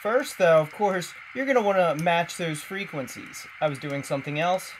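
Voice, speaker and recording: A man's voice: a little high, normal speed. A man giving a casual, conversational hands-on tech tutorial, explaining as he goes. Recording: clearly noisy home-video recording.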